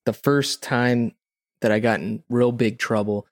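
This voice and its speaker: A man's voice: mid-range voice, steady tone, unhurried, no echo, clean studio sound. A man co-hosting a podcast, telling a story in casual chat, rambling informally with plenty of "like" and "you know". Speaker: podcast co-host.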